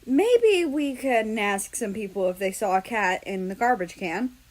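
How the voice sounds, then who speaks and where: A woman voicing a dry, fed-up man with a monotone delivery in a story reading. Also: medium pitch, unhurried, lightly acted.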